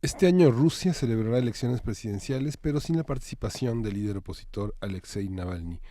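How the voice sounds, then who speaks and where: A man's voice: mid-range voice, fairly quick, mellow, no room sound, faint music behind. A man with a Mexican accent presenting news and introducing guests as a television host. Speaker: TV magazine show host.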